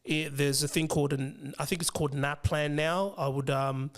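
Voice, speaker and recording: A young man with an Australian accent, whose first language was Fijian, talking casually as an interview guest, hesitant with many pauses and restarts. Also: fairly high pitch, steady tone. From Western Sydney, Australia. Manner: hesitant, many ums and restarts, laughs easily. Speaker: financial planner guest.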